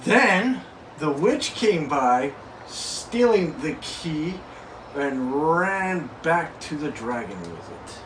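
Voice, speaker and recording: A young man co-hosting a board game show, laid-back and laughing, narrating his story slowly. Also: fairly high pitch, sing-song, a bit bright. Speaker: co-host. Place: small room indoors. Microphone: far camera mic. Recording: echoey room, distant mic, background noise.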